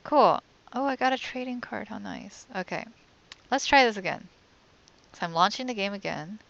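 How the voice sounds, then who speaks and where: A woman's voice: mid-range voice, lively intonation, normal speed, creaky, a bit bright, dull audio. A young woman giving casual let's play commentary as a game streamer, often murmuring to herself.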